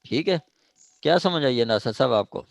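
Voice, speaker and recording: A man's voice: mid-range voice, animated, normal speed, gravelly, no echo. A man with a Pakistani accent giving a classroom law lecture, reading out legal text and explaining it step by step, repeating himself and checking understanding. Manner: repetitive, explains step by step with frequent check-in questions.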